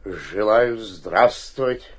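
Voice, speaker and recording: An actor playing a wily, fawning, middle-aged provincial town governor, corrupt and fearful, with a husky voice in a theatrical radio play. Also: male voice, lowish voice, animated, very slow, full character voice. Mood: deferential, nervous. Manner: fawning, swinging from pleading to sly muttering. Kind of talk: theatrical radio play acting with muttered asides.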